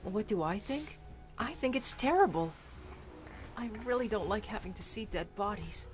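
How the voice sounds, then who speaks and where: A woman's voice: mid-range voice, animated, normal speed, close to an everyday voice. A woman voicing a timid, observant youngster in a visual novel, with a hesitant, earnest manner. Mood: uneasy, hesitant. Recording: prominent music behind the voice.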